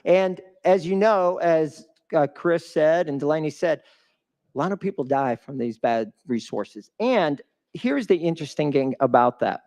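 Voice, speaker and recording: A man's voice: fairly high pitch, lively intonation, unhurried, a little mellow. A man giving a live motivational fundraiser speech as a guest speaker, emphatic and putting questions to the audience. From a developing country.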